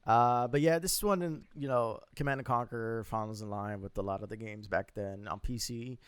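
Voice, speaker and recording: A man's voice: on the low side, moderate pace, smooth, dry recording. A young man co-hosting a podcast, chatting loosely and reading out a list with laughs and swearing. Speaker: podcast co-host. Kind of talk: casual chat.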